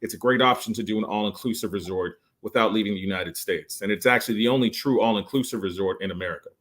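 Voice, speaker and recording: Low-voiced man pitching resorts. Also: quick pace, a bit bright. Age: 40s. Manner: enthusiastic salesy pitch with many fillers. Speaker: resort sales guest. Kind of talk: podcast interview.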